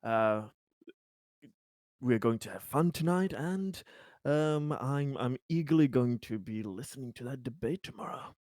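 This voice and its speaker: A man's voice: medium pitch, expressive, measured pace, darkish tone, dry recording, studio quality, voice pushed up, lightly acted. A man playing an eager, scheming political helper in a tabletop game, hesitant, polite and often stammering.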